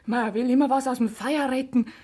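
A woman's voice: high voice, fairly even intonation, brisk, mellow, dry recording. A desperate young village woman. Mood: desperate, defiant. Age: young adult. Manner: agitated, evasive, fragmented. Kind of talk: German radio play, naturalistic stage acting.

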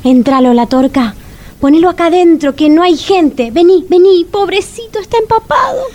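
An actress with an Argentine accent playing an excitable, bossy woman in a melodramatic comedic radio drama. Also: extremely high pitch, normal speed, a little hiss or hum. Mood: anxious, bossy. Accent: Argentine, Rioplatense. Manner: melodramatic, exclamatory.

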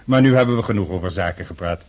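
An actor playing a genial, talkative middle-aged count in a Dutch radio drama. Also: male voice, low-pitched, racing, a little mellow. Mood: genial, musing. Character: genial older Russian count, talkative, careless with money. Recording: muffled old radio recording, music behind.

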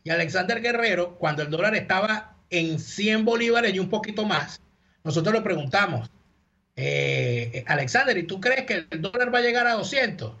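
A man's voice: high-pitched, expressive, moderate pace, bright, forceful tone, highs cut off. A man co-hosting a talk show over a video call from abroad, casual and teasing. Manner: teasing, playful. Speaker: co-host. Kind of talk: casual radio talk, joking. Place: remote from abroad.

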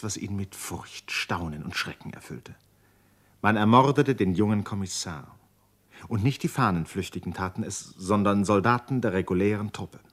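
A man narrating a German radio play as a grave literary storyteller. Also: low voice. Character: grave male storyteller of a dramatic novel.

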